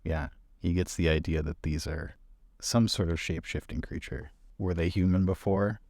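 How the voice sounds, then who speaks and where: A man's voice: low voice, fairly even intonation, normal speed, gravelly, darkish tone, dry recording, studio quality. A man talking casually as a player in a tabletop game, describing what his character does.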